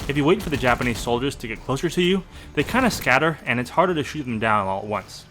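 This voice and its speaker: A man delivers informative gameplay commentary at a quick clip. His pitch moves around in a lively way as he methodically explains and adds his own opinions. The voice is bright and forward. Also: a little hiss or hum. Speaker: game walkthrough narrator.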